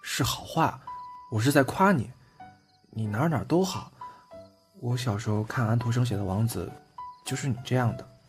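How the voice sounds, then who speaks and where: A man's voice: medium pitch, normal speed, pauses often, natural voice. Male actor as bashful young boyfriend, boyish, earnest, chatty, self-deprecating, audio drama, music underneath. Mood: playful, earnest. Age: young adult. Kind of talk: Chinese audio drama acting. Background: background music.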